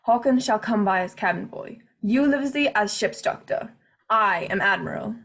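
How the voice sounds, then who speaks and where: Pompous, excitable squire played by a woman, husky edge, old-fashioned emphatic diction, period radio play. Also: mid-range voice, moderate pace. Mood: excited, commanding. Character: pompous, excitable, hearty English country squire, male.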